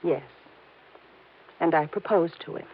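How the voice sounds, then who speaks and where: A woman's voice: sits low, measured pace, slightly rough, exaggerated voice. An actress playing a worried, earnest young wealthy woman in a 1950s radio drama, on a tinny old broadcast recording. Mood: anxious. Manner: anxious, hesitant, earnest.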